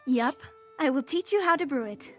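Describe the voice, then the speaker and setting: A woman's voice: high-pitched, lively intonation, normal speed. A woman voicing a friendly, helpful teacher in a comic dub, giving instructions in an obliging way. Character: helpful, friendly female teacher of ancient brewing. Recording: loud background music.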